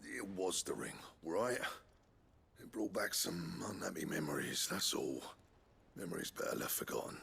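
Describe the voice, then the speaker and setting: An actor playing a gruff, proud master blacksmith who grumbles and swears bluntly in a video game. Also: male voice, low voice, expressive, moderate pace, fairly bright tone, exaggerated voice. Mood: weary, irritated. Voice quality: gravelly. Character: gruff, proud, foul-mouthed village-born master blacksmith.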